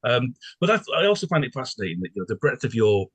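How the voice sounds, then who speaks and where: A man hosting a casual interview, asking long, halting questions with asides along the way. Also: mid-range voice, big pitch swings, slightly rough, dry recording. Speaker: podcast host, interviewer.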